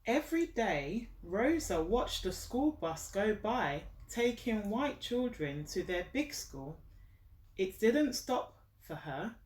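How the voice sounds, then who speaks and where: A woman reading a children's book aloud in an encouraging, teacherly way, pausing to prompt a young listener. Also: medium pitch, very animated, darkish tone. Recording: roomy echo, distant mic, faint noise.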